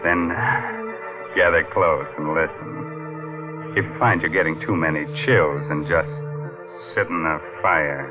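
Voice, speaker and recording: A man's voice: extremely low pitch, slow. A man playing a gleefully morbid ghoul who hosts a mystery show in theatrical 1940s American radio style. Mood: wry, teasing. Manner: theatrical, mock-sinister, chuckling wryness. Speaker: radio mystery host and narrator. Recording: tinny vintage radio broadcast with music.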